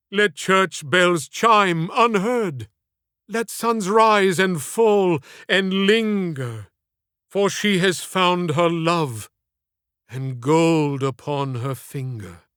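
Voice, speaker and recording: A man voicing an aging, tender, theatrical stage actor in an audiobook, declaiming with slow, dramatic swells and hushes. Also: a little high, smooth, no echo, studio quality. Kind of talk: audiobook character acting.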